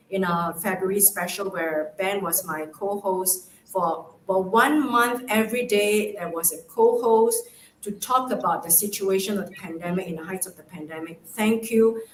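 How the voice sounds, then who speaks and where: A woman's voice: medium pitch, moderate pace. A woman host wrapping up and thanking the speaker, speaking in an appreciative way. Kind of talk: host wrap-up on video call. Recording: echoey room with faint noise.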